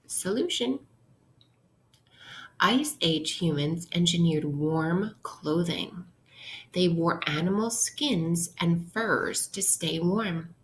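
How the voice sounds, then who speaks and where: A woman teacher reading aloud an interactive lesson for young children, instructional and pausing to pose questions to her listeners. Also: fairly low pitch, expressive.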